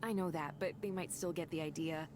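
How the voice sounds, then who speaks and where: A friendly, earnest woman manager played by a game actress, a loyal company type who is easily impressed. Her voice sits a little low, and she chats in a reassuring way over some background noise. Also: fairly even intonation, fairly quick, lightly acted. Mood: discreet, reassuring. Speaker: manager of counseling centers. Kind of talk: video game voice acting.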